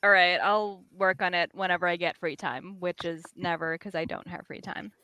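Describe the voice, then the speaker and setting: A woman's voice: fairly low pitch, fairly even intonation, moderate pace, bright, forceful tone, clean tone, dry recording, poor audio. A woman chatting casually in a group, blunt and slangy, with a wry, exasperated manner. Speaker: podcast panelist, comic artist.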